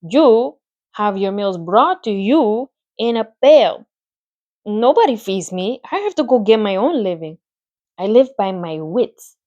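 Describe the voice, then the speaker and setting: Woman reading aloud as a female spider, pleasant thin voice, put-on accent, clever trapper, relishing her meals, slow, soft. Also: medium pitch, muffled recording, close to an everyday voice.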